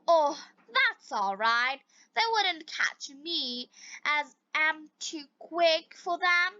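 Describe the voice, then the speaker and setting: A boastful, unworried storybook fish, played by a woman, brushes things off with easy confidence. The voice is high and bright, with slow clear words on a slightly dull recording. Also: expressive, no echo, full character voice. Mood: carefree, boastful. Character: carefree, overconfident red fish, boastfully unworried.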